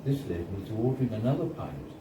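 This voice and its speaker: A man reads a first-person story aloud at a live event in a bar, in a measured storytelling manner with deliberate pauses. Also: fairly low pitch, big pitch swings. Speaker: reader of a short story. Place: indoors at a bar, live reading. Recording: roomy, distant, noisy live bar recording. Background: clear background noise and room ambience.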